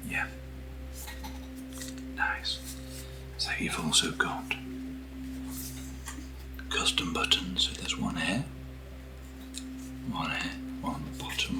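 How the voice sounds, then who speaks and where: A British man speaking in a soft, breathy near-whisper as he hosts a casual ASMR video, gentle and unhurried, close to the microphone. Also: very deep voice, poor audio. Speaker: ASMR video host reviewing camera gear. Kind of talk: soft-spoken ASMR, casual unboxing chat.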